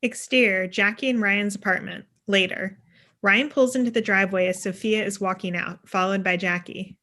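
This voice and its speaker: A woman reads screenplay directions as scripted narration. Her voice is crisp and very bright, at an average pitch. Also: fairly even intonation, normal speed. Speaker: stage directions reader.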